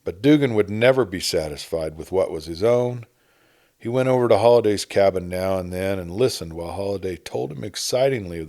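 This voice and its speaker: Low, mellow male story narrator. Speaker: narrator reading a short story. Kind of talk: scripted dramatic audiobook narration.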